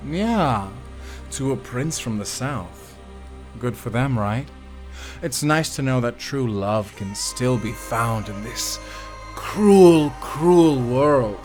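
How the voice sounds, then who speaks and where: A man's voice: medium pitch, animated, slow, darkish tone, faint music behind. Man playing a swooping, mocking prison warden. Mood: smug. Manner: mocking, theatrical, drawling. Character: cruel, gloating male prison warden. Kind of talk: audio drama acting.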